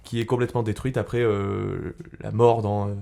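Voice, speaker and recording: A man's voice: lowish voice, flat delivery, normal speed, gravelly, mellow. Eager man urging a film pick. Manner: enthusiastic, urging, hesitant fillers. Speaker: podcast panelist. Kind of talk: casual chat, recommending a film.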